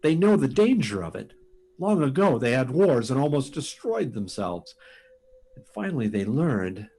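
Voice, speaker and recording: An actor playing a frantic, halting test pilot pleading to warn of doom in a sci-fi radio drama. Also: male voice, medium pitch, big pitch swings, darkish tone, husky. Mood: dazed, awed. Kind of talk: live performance of 1950s sci-fi radio drama.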